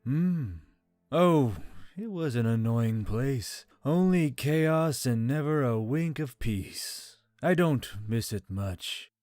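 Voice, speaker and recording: Man voicing a slow, languid, world-weary spirit. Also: medium pitch, no echo, studio quality. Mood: dry, bored. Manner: languid, deadpan, drawn-out delivery. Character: amused, world-weary male spirit voice in heroine's head. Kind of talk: audiobook fantasy character acting. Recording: background music under the voice.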